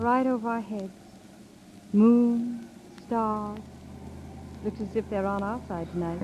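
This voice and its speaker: An actress playing an earnest, wistful young office secretary in love, in 1930s radio style. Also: female voice, medium pitch, steady tone, slow, close to an everyday voice. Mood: wistful, tender. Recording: muffled, noisy 1930s radio recording.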